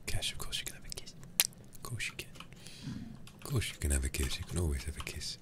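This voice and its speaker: A man streaming a game ASMR-style into a close microphone, speaking softly and intimately with frequent whispering, in an affectionate, languid manner. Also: very deep voice, expressive, fast. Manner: breathy, often whispered, intimate; affectionate, doting toward viewers. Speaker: livestreamer.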